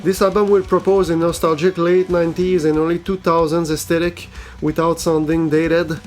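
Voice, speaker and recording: Male host, flat measured read, highish voice, soft guitar music under it. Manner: even, measured reading. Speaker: host of a metal news show. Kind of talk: scripted album-release roundup.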